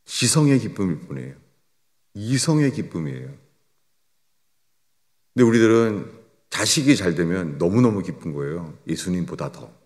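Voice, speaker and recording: An older man preaching a sermon as a pastor, swinging between raised emphatic lines and low, quiet drops. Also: sing-song, normal speed, pauses often. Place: church sanctuary. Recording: long church reverb.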